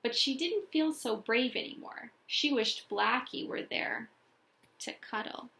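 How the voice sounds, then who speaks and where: A woman narrates a children's storybook in a fairly high, bright voice with noticeable creak. Her phrasing is deliberate and dramatic, as a teacher reads aloud to a class.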